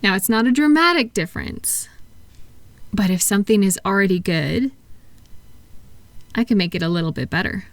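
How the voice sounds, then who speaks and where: A woman acts out a gentle female therapist in a soothing audio roleplay. Her soft-spoken voice sits at an ordinary pitch for a woman, recorded dry with little room sound. She guides her patient patiently, with kind encouragement and reassurance. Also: animated, moderate pace, pauses often, dry recording, natural voice. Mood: kind, softly encouraging.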